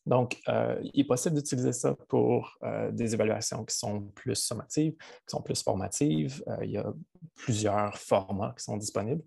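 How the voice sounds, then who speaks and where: A man's voice: mid-range voice, moderate pace, vocal fry, warm tone, husky. A man with a Québécois accent, a college physics teacher giving a webinar presentation over a video call, hesitant with many fillers. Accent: Québécois French. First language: French.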